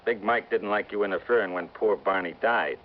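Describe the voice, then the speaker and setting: A man's voice: on the low side, brisk, natural voice. An actor playing a menacing gangster henchman with slangy, ungrammatical tough talk in a 1950s radio crime drama. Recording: muffled, very noisy old radio recording.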